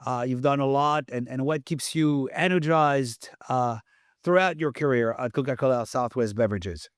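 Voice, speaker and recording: A man's voice: medium pitch, moderate pace, no echo, studio quality. A man hosting a podcast interview, chatty and prone to stumbling restarts as he asks questions and tells anecdotes. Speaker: podcast host.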